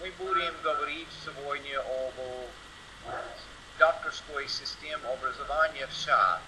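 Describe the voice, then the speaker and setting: A man with an American accent gives an informal conference talk as a non-native speaker, halting and searching for words with broken grammar. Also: fairly high pitch. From United States. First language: English. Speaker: guest conference presenter. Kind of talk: informal conference talk in Russian as a second language. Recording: noisy online seminar audio.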